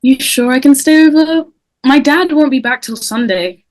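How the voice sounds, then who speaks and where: A woman's voice: sits high, normal speed, no room sound, close to an everyday voice, rough recording. Shaken, subdued teenage girl, played by a woman. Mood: subdued, uneasy. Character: subdued, shaken, vulnerable high-school girl. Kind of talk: live table read of a slasher film script.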